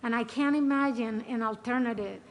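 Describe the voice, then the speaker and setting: A woman's voice: a little high, a little fast. A middle-aged woman, a retired Coast Guard lieutenant, reading a prepared personal statement hesitantly, with many filler sounds. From Dominican Republic.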